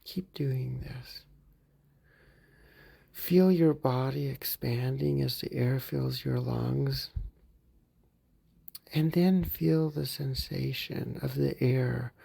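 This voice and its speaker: A man guides a mindfulness meditation for a therapy group with an unhurried, very slow delivery. His voice is deeply gravelly and husky, with a mellow, dark tone. He stays gentle throughout and often sinks into soft whispers. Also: steady tone.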